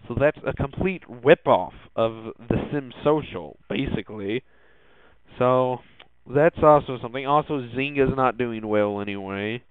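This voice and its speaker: A male podcast host gives casual commentary on tech and gaming news at an unhurried pace. His delivery is hesitant and halting, with words repeated as he goes. The voice itself is smooth, but it comes through narrow and tinny, as if over a phone. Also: medium pitch, no echo.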